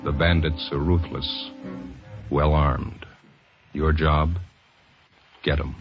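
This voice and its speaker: A man's voice: rumbling low, level tone, slow pace. A man announcing a 1950s radio police drama in terse, grave, clipped sentences, on an old radio recording. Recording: muffled old radio recording, loud music.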